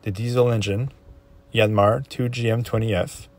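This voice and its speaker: A man's voice: lowish voice, fairly even intonation, moderate pace, a little mellow. A man narrating a walkthrough tour of his own boat, listing the equipment in a plain, matter-of-fact way. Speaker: boat owner giving a tour.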